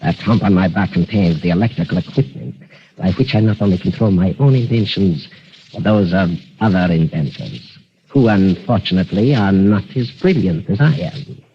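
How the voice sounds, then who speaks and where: An actor playing a vain, vengeful mad inventor in old-time radio melodrama, with mock courtesy, gloating theatrical delivery and a muffled, noisy recording. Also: male voice, sits low, moderate pace, lightly acted. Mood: smug.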